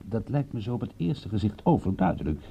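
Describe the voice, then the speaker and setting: An actor in a radio drama playing a wry, stubborn private detective with an offhand way of talking. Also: male voice, on the low side, sing-song, brisk, gravelly, mellow, slightly rough, exaggerated voice. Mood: brisk, reasoning. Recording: muffled, dull old radio recording.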